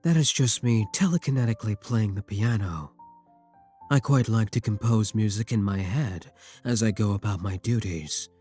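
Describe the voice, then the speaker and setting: A man playing a brooding, tender vampire king in intimate ASMR roleplay, husky and courtly, with formal endearments and hesitant pauses. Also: lowish voice. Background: soft music.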